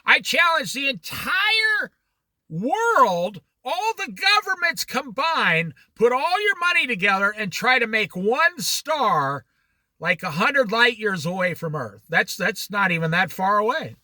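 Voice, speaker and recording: A man teaching a casual Bible study in a very bright, high voice that swings widely in pitch. He talks slowly and challenges his listeners with pointed questions, with a dry close sound. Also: no echo. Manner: animated, challenging rhetorical questions; jokey asides. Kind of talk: informal Bible study talk.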